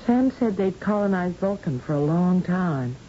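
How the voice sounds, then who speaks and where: A woman's voice: lowish voice, animated, moderate pace, warm tone, natural voice. An actress playing a curious, thoughtful woman scientist in an old-time radio science fiction drama. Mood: wondering. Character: curious, thoughtful female scientist, ageless space explorer. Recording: dull, noisy old radio recording.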